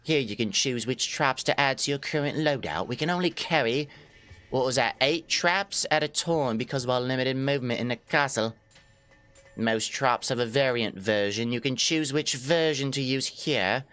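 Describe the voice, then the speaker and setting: A man's voice: medium pitch, normal speed, a bit bright, clean tone, soft background music, pitched above the performer's own voice, natural voice. A man reading the lines of a male scavenger ally from a castle game, in a plain tutorial manner. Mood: explaining. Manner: reads tutorial lines plainly, with small slips.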